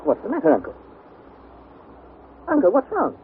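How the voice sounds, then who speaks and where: An actor playing an earnest, anxious young nephew who narrates and acts in a 1960s radio drama. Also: male voice, high-pitched, wildly swooping pitch, moderate pace. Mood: worried. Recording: tinny, noisy vintage radio recording.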